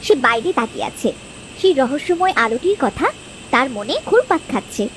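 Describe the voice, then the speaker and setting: A woman's voice: high-pitched, big pitch swings, brisk, a bit bright, a little hiss or hum. A woman narrator reading scripted storytelling narration for a children's cartoon.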